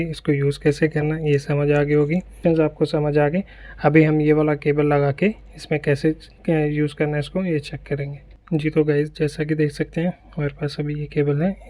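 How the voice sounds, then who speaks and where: A man narrating an unboxing and setup tutorial, explaining each part step by step and often checking in with the viewer. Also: a little high. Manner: explains step by step, keeps repeating "ठीक है". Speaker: tech reviewer.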